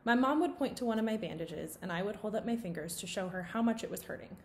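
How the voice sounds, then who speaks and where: A woman reading a children's novel aloud in an expressive storytelling style. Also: mid-range voice, fast, a little hiss or hum.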